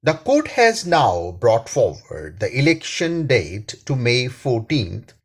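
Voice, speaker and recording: A man with a Pakistani accent reads a scripted editorial aloud. His delivery is slow and deliberate, with a husky voice and a formal manner. The pitch moves in an animated way across phrases. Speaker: reader, attorney and law professor.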